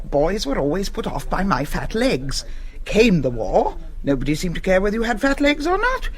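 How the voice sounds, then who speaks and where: Gushing English matron, swooping voice. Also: female voice, mid-range voice. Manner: gushing, chatty, old-fashioned slang. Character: jolly, gushing middle-aged school matron with wartime nostalgia. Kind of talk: British stage comedy acting.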